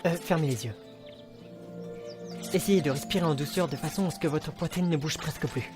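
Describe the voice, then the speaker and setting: Loud music surrounds a man acting as an excitable, romantic fantasy suitor in an amateur dub. His voice sits fairly high, and he tells his tale tenderly and theatrically. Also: fairly quick, natural voice.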